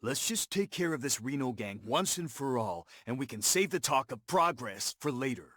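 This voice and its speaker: An actor playing an earnest, principled young war veteran of Lakota descent, measured and sincere, in a video game cutscene. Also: male voice, mid-range voice, big pitch swings, fairly bright tone, dry recording, clean studio sound. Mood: troubled.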